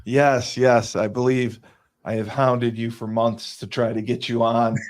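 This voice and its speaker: A man's voice: mid-range voice, flat delivery, a little slow, noisy background. A man hosting a casual sports podcast, joking and boasting about himself, then laughing at his own coaching. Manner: jokey, boastful, self-deprecating. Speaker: podcast host.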